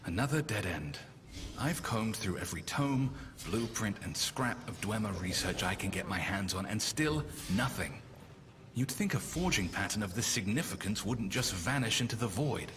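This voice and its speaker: A man's voice: on the low side, lively intonation, moderate pace, lightly acted. A man playing a frustrated, obsessive scholar of ancient machines in a fantasy game, speaking in a brooding, articulate, bookish way. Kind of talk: dramatic video game character voice acting. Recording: long hall reverb, loud background noise.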